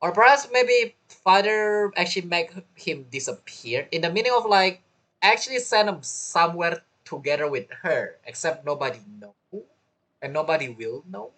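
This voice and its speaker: A man's voice: high voice, animated, normal speed, a bit bright, low-quality recording. A man streaming a game playthrough with casual commentary, musing aloud and making joking asides.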